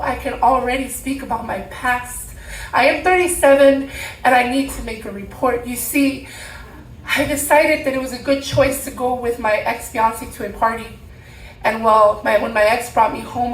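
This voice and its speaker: A woman in her thirties performing spoken word poetry live, emphatic, with deliberate repeated phrases. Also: on the high side, steady tone. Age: 30s. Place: room at a live poetry event. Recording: roomy, distant mic, faint background noise.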